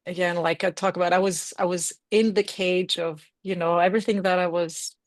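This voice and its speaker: A woman from Greece in her forties speaks in a low voice as a podcast guest. She reflects on things with halting fillers and soft laughs. The sound is dry and close. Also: steady tone, dry recording. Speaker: guest, reinvention coach. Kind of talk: podcast interview.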